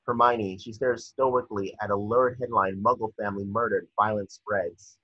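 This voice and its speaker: A man's voice: on the low side, fairly even intonation, moderate pace, husky, no echo. A young man reading screenplay stage directions as scripted narration, on dull video-call audio. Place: indoor room at home, on a video call.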